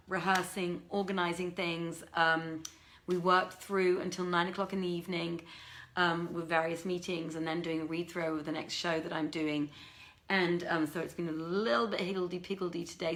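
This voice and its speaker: A woman with an expressive, courteous manner, working as a live story reader with theatrical, carefully phrased delivery. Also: fairly low pitch. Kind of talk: theatrical storybook reading and informal livestream talk.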